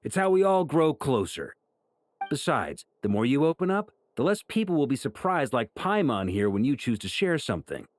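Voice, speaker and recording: A man's voice: medium pitch, big pitch swings, moderate pace, slight background noise, close to an everyday voice. A man voicing an earnest, supportive, duty-minded hero in a video game. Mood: encouraging. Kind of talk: animated game dialogue.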